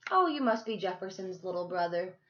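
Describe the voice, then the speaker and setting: A woman voicing a big, brisk, kindly storybook lunch lady with a large voice. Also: lively intonation, lo-fi sound, close to an everyday voice. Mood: brisk, friendly. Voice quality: large, booming voice. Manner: bossy but affectionate, addressing small children.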